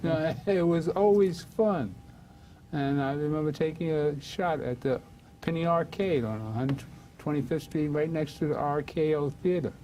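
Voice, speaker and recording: A man's voice: a little high, normal speed, mellow, slight background noise. An older man from Harlem hosting a casual talk show, reminiscing in a rambling way with many fillers. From Harlem, New York.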